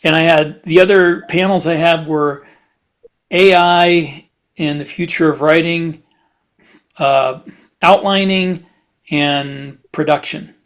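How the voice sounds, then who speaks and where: A man co-hosting a podcast in casual chat, talking haltingly and often restarting his phrases. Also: a little high, fairly even intonation, a bit bright. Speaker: podcast co-host and fiction writer.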